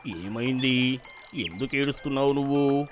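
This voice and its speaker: A woman's voice: rumbling low, normal speed, a little hiss or hum, pitched below the performer's own voice. A woman voicing a big old magic tree in a children's story, proud, self-assured and kindly. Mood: curious.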